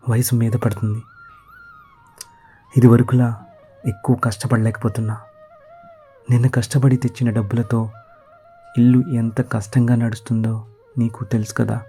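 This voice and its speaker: Casting: male actor as tired older village dad, mellow and flat-toned, measured grumbler for a children's cartoon. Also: medium pitch, lightly acted. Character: weary, grumbling ageing village father and husband. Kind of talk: Telugu children's cartoon dialogue.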